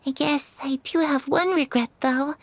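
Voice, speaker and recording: A woman voicing a gentle, trusting young girl in a video game, earnest and softly wistful. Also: high voice, fairly even intonation, dry recording. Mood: grateful, wistful. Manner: soft, unhurried, earnest. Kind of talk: dramatic video game acting.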